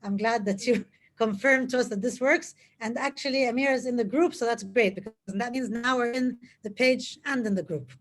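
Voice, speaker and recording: Enthusiastic female host on a video call, a little high-pitched, repeats for emphasis. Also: brisk. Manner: upbeat, enthusiastic, repeats phrases. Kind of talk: live video interview.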